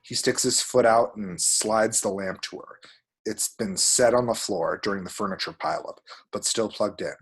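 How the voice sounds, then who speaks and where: A man's voice: on the low side, normal speed, breathy edge. A man reading screenplay action descriptions aloud as dramatic, vivid narration at a table read.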